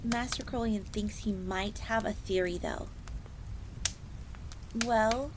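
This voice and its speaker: A woman's voice: medium pitch, slow, close to an everyday voice. A woman playing a chatty, deferential fox maid who talks about herself in the third person in a fantasy audio roleplay. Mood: chatty, explanatory. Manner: refers to self in third person, informal, explanatory. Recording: clear background noise, crackling fire ambience.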